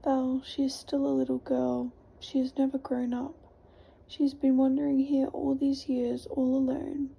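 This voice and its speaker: A woman's voice: high voice, monotone, measured pace, vocal fry, breathy edge, muffled recording, heavily acted. A woman whispering a terrified young woman. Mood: frightened pleading. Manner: whispered, pleading. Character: frightened young woman haunted by a lost friend.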